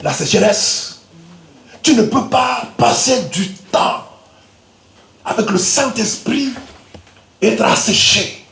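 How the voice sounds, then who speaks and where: Shouting preacher in an echoing church. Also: male voice, high voice, lively intonation, slow, vocal fry, long pauses. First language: Lingala. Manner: alternates quiet asides with loud shouted exhortation. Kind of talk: Pentecostal sermon. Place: church hall. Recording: echoey church hall with faint noise.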